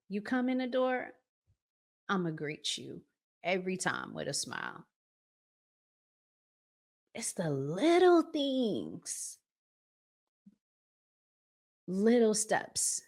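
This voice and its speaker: A woman with a low voice speaks at a slow, deliberate pace, as a host giving advice straight to her audience. She is conversational but emphatic, repeating key phrases and posing rhetorical questions. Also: creaky. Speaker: relationship vlog host. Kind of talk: conversational relationship advice monologue.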